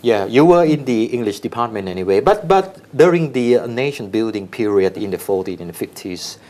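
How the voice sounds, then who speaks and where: A man's voice: fairly low pitch, animated, fairly quick, a little mellow. A man hosting an interview, asking questions and often murmuring short affirmations. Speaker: interviewer host.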